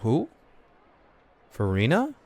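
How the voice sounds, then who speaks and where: A man's voice: mid-range voice, wildly swooping pitch, slow pace, darkish tone, no room sound. A man livestreaming a game with casual, sweary, slangy commentary, often repeating his words. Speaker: streamer. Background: game music.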